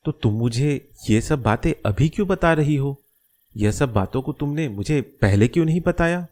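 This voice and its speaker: A man voicing a caring middle-aged father in a moral-story cartoon, gentle and explanatory as he reassures. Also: mid-range voice, lively intonation, normal speed, lightly acted. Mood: concerned.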